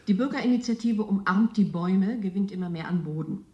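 An actress playing a formal, composed news anchor reading a bulletin in a radio drama, with echo. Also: female voice, medium pitch, fairly quick, lo-fi sound, crowd noise, lightly acted. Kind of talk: newsreading within a radio drama.

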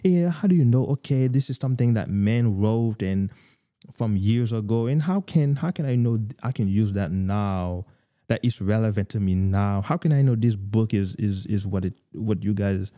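A young man hosting a live faith talk show over a video call, preaching earnestly with many filler pauses, on a studio microphone. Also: on the low side, warm tone, no room sound. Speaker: host. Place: home room, video call. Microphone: studio mic with headphones.

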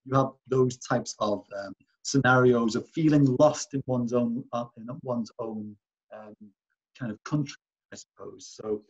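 A man's voice: medium pitch, fairly even intonation, a little slow, darkish tone, slightly rough, dull audio. A man giving an artist talk over slides, hesitant and full of restarts and fillers. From Wales.

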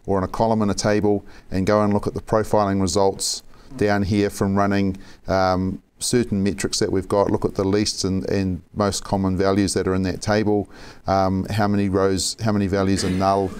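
A man in his forties with a New Zealand accent, walking through a software demo methodically in a conference room. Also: deep voice, clean tone. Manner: plain, methodical explaining. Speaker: software architect giving a demo. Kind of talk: live software demo presentation.

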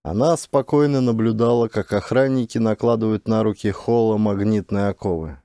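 A man's voice: fairly low pitch, moderate pace, dark tone, no room sound, studio quality. A man reading fiction as an audiobook narrator, in a dramatic scripted style.

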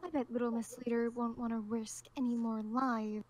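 Woman acting a stubborn, scornful survivor, higher voice. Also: little pitch movement, normal speed, darkish tone, close to an everyday voice. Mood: defiant, irritated. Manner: assertive, sarcastic. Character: stubborn, scornful female survivor challenging the leader. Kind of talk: improvised roleplay acting.

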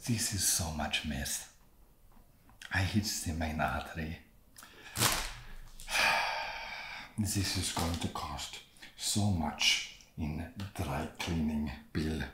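Man playing a halting, deep-voiced awkward vampire. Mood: exasperated. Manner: halting, hesitant, softly spoken. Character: awkward, creepy, fussy male vampire landlord. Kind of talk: ASMR roleplay. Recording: roomy echo, loud background noise.

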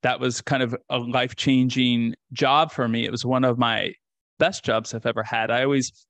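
A man's voice: medium pitch, little pitch movement, normal speed, a bit bright, no room sound. A man in his thirties from rural Kansas, a guest telling his story on a video call in an earnest, reflective way. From rural Kansas, USA. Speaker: interview guest. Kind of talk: casual interview storytelling. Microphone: video call with desk mic.

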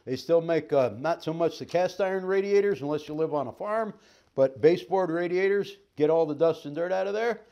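Middle-aged male sales trainer, slightly high voice, folksy and upbeat demo patter, living room set. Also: brisk, warm tone, highs cut off. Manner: folksy, upbeat, jokey patter while handling gear. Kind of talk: product demonstration.

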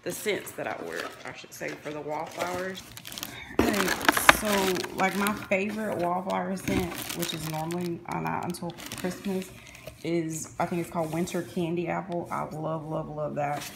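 Casual home vlogger woman, thirties, low gravelly voice, hot and tired, phone mic close up. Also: steady tone, normal speed, background noise. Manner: chatty, loose, many fillers, addresses viewers as y'all. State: hot and tired after cleaning. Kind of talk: casual chat to camera. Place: home kitchen and living room, indoors. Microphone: phone camera held close.

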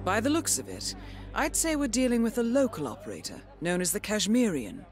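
An actress playing a composed, wry intelligence handler briefing an assassin in a video game, measured and articulate. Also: female voice, mid-range voice, big pitch swings, a little mellow, natural voice. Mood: composed, informative. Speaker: mission handler. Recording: music behind the voice.

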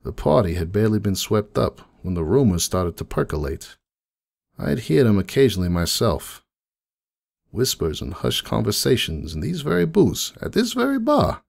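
A man voicing a jaded, rueful middle-aged pony who runs a donut shop, rambling folksily in a confiding dramatic monologue with a gravelly voice. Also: fairly low pitch, huge pitch swings, normal speed, darkish tone, full character voice. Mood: bitter, seething. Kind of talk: dramatic first-person monologue reading.

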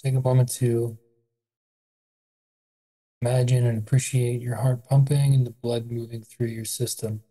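A meditation guide with an adult voice, leading a body scan in a calm, unhurried, gentle way with pauses. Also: mid-range voice, breathy edge, low-quality recording.

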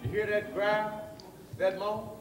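A man's voice: high voice, fairly even intonation, music in the background. A man playing a kindly, wary old janitor with a folksy Southern way of talking in a stage play. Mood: fatherly. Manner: folksy, drawn-out storytelling. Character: kindly, wary old blues-loving orphanage janitor, fatherly mentor. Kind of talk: stage acting. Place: theatre stage, far camera. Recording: distant echoey theatre stage, noisy.

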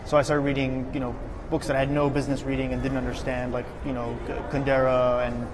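A man in his thirties from Alabama, telling stories in a relaxed way with many fillers during an interview. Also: mid-range voice, fast talker. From Birmingham, Alabama, USA. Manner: relaxed, flat storytelling with fillers. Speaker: author guest. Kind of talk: interview conversation. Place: festival lounge set in a busy hall. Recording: background noise of a busy hall.

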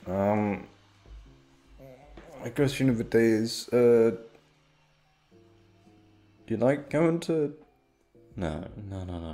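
A man's voice: on the low side, warm tone. A young man chatting informally to his listeners, meandering and swearing freely. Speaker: audio roleplay voice actor. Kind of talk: casual rambling chat to listeners.

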